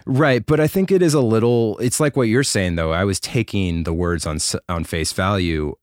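A man in his thirties speaks as a podcast guest, candid and reflective, often poking fun at himself. His voice is very low for a man. The recording is dry and close. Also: normal speed, dry recording. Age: 30s. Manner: reflective, candid, self-deprecating. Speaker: podcast guest, keyboard player. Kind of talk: casual chat.

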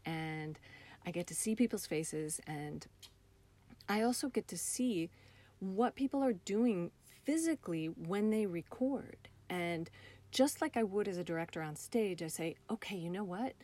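A woman's voice: lowish voice, lively intonation, measured pace, warm tone. A woman talking casually and expressively as an interview guest, a playwright and director describing things with enthusiasm. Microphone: computer call, also recorded on her phone.

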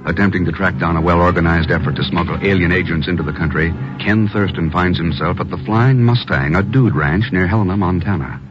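An American man announcing in formal, emphatic 1950s radio style, delivering scripted sales copy and narration in measured phrases. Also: low-pitched, over music. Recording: muffled old radio broadcast.

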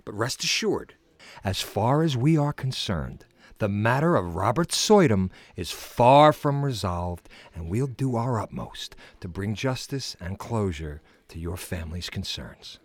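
A man's voice: on the low side, animated, a little slow, dry recording. A man playing a blunt, authoritative police captain in a radio drama. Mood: formal, grave.

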